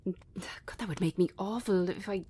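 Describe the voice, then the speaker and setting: A woman's voice: medium pitch, warm tone, slight background noise, lightly acted. Woman acting a weary, sardonic bartender. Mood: frustrated, venting. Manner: halting, conversational, sardonic. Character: Amy, weary, sharp-tongued female bartender in her thirties. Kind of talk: naturalistic drama script reading.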